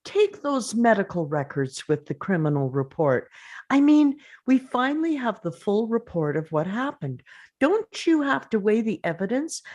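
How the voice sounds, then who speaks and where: Woman playing grieving, confrontational father, swinging pitch. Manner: confrontational, emphatic, pressing with questions. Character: grieving, angry father of a murdered son. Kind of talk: dramatic script table read.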